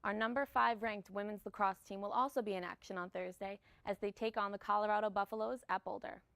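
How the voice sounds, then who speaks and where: A woman's voice: medium pitch, steady tone, quick pace, creaky, a bit bright. A young adult woman working as a student news anchor, delivering scripted campus news.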